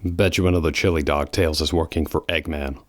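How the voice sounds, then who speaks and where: A man voicing a cautious, sardonic hedgehog antihero, deadpan and grumbling, in a fan audio drama. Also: rumbling low, a little fast, slight background noise. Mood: wry, gloomy.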